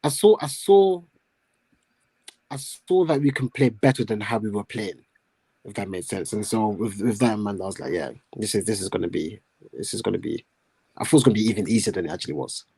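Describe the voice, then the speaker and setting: A man with a cold and a hoarse voice, giving a thoughtful, measured take on a football match over a remote call. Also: fairly low pitch, warm tone, no echo, rough recording. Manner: thoughtful, measured analysis with fillers. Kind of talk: casual live-stream chat.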